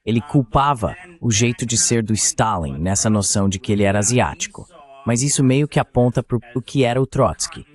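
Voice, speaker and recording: Mid-pitched man in translated political voice-over. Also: a little mellow. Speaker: political commentator, interview guest.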